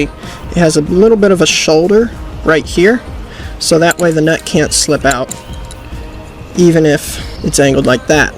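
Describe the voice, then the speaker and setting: A man hosting a DIY video, explaining things methodically, step by step, in an instructional style. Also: a little high. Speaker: host of a DIY how-to channel. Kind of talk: instructional how-to commentary.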